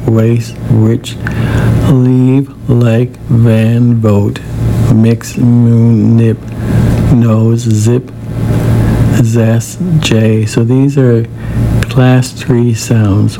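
A man who stutters talks slowly and deliberately, stretching his syllables and easing into each word. His voice carries a gravelly fry. The recording is noisy, with car and traffic sound running behind him. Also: mid-range voice. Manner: stutters, with repeated sounds and restarts. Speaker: host of a weekly stuttering practice show. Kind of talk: self-disclosing speech-practice talk.

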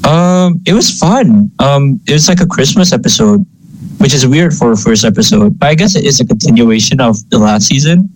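A man's voice: medium pitch, expressive, normal speed, no room sound, poor audio. A young man co-hosting a casual podcast chat, rambling and hesitant with plenty of fillers. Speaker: podcast co-host.

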